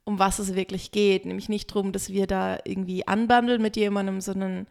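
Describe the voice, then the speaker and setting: A woman telling personal stories in a casual podcast chat, giggly and quick to act out what people said. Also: mid-range voice, vocal fry. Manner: giggly, animated storyteller who acts out quotes. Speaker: podcast co-host and stripper.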